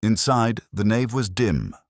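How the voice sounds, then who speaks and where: A man's voice: fairly low pitch, expressive, unhurried, no room sound, clean studio sound. A man reading a scripted mystery story as a dramatic narrator.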